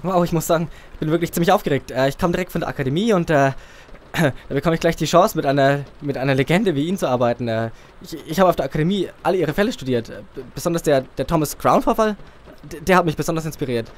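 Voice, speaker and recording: An actor playing a nervous, overeager young rookie detective who stammers and chatters. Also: male voice, a little high, expressive, fast, lightly acted. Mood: eager. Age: young adult. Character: eager nervous young male rookie cop. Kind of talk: comedic audio drama.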